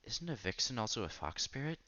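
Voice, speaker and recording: A man's voice: medium pitch, big pitch swings, fairly quick, pushed and bright, muffled recording. A man reading a visual novel aloud in a casual let's-play style, sometimes stumbling over words and repeating them. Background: game music.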